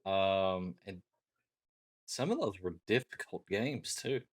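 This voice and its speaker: A man's voice: low voice, lively intonation, slow. A middle-aged man co-hosting a casual chat, speaking in a hesitant, musing way. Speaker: talk show co-host.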